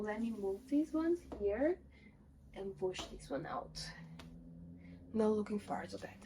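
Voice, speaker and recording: A woman's voice: fairly high pitch, warm tone, low-quality recording. Chatty young woman. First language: not English. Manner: chatty, listing titles, self-deprecating asides. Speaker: book vlogger. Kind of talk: casual book haul chat.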